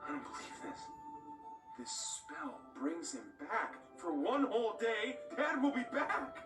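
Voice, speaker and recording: A man playing a brash young elf obsessed with magic, speaking grandly in an animated film style. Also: extremely high pitch, measured pace, full character voice. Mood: amazed. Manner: theatrical, grandiose. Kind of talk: animated film character acting. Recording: roomy, distant film audio with music.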